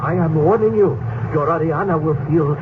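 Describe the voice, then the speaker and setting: A man's voice: a little high, moderate pace, lightly acted. An actor playing a mad, menacing Egyptian priest who holds a woman captive, in a cold, threatening manner. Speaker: villain. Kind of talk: 1970s radio drama acting. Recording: tinny, noisy old radio broadcast.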